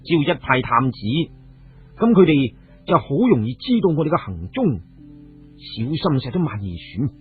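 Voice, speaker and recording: Radio hero a man acting: wary, decisive commander, very husky voice, band-limited sound with music. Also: mid-range voice, big pitch swings, moderate pace. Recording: muffled phone-like radio audio, music behind.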